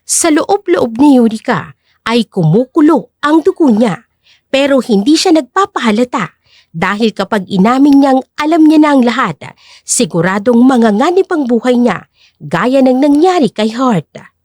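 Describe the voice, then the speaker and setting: High, animated female story narrator. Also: normal speed, no echo, clean studio sound. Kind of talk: dramatic scripted story narration.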